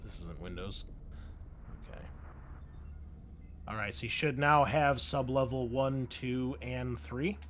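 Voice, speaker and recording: A man's voice: mid-range voice, slow pace, long pauses, fairly bright tone. A man narrating a tabletop game as game master in a casual, informal way, with some swearing. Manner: informal, profane. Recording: noticeable background noise.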